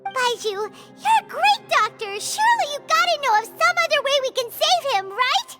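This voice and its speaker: Squeaky, excitable fairy sidekick. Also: female voice, normal speed, loud music behind the voice. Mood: pleading. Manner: chirpy, blunt, impatient. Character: small excitable female fairy companion. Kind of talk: video game cutscene voice acting.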